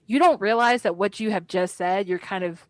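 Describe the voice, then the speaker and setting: A woman in her thirties co-hosting a podcast in casual chat, blunt and animated, swearing when something annoys her. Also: mid-range voice, normal speed, dry recording. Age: 30s. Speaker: podcast co-host.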